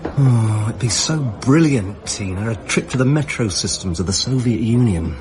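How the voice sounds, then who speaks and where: An actor playing an eager, polite, bookish railway enthusiast with an English accent, earnest and slightly flustered, in a radio drama. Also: male voice, fairly low pitch, expressive, moderate pace, darkish tone, close to an everyday voice.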